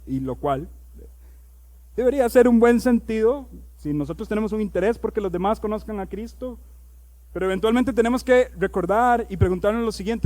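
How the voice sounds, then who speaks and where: A male pastor preaching a sermon. He reads scripture aloud, slows down on key words, and builds to loud, emphatic preaching. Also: high voice.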